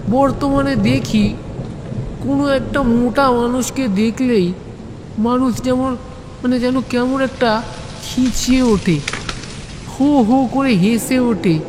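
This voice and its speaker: A man voicing a pompous, aggrieved fat club president in a comic audio story, pleading plaintively and haltingly for respect. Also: extremely high pitch, a little mellow, long reverb tail, a little hiss or hum, voice pushed up. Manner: plaintive, self-important, halting with filler words.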